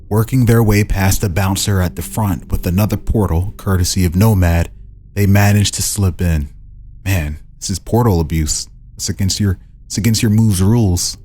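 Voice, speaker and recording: Male host, low register, informal story reader who slips in casual reactions, faint music underneath. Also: darkish tone. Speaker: podcast host reading a fantasy role-play story. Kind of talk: casual scripted story reading with asides.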